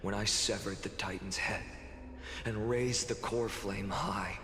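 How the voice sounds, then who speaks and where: Slow, solemn, sorrowful hero. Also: male voice, on the low side, steady tone, bright, forceful tone. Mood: solemn, resolute. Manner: solemn, deliberate, brooding. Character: weary male hero, sorrowful and quietly wrathful, recounting endless cycles. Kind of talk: dramatic video game voice acting, slow monologue. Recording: echoey long reverb, music underneath. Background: dramatic game music.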